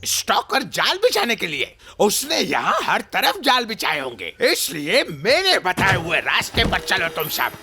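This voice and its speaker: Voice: medium pitch, wildly swooping pitch, unhurried, creaky, few pauses, music in the background, exaggerated voice. An actor voicing a bossy, confident male guide in a cartoon dub, insistent and self-assured.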